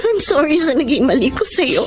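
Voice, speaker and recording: A woman's voice: high-pitched, sing-song, a little fast. An actress playing an earnest, caring, guilt-ridden young female friend, pleading in a radio drama. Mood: remorseful, pleading. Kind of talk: Tagalog radio drama acting. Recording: music playing under the voice.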